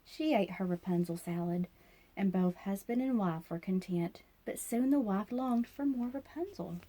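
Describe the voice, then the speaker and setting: A woman's voice: mid-range voice, expressive, moderate pace, warm tone, poor audio. A woman narrating a children's fairy tale as a storyteller, reading aloud expressively.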